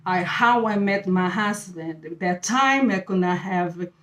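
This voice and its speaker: A middle-aged woman from Brazil with a Brazilian Portuguese accent, hosting her channel and sharing a faith talk in halting English, often pausing with fillers as she searches for words. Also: fairly low pitch, a bit bright. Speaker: channel host. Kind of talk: casual faith talk to camera. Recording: echoey room.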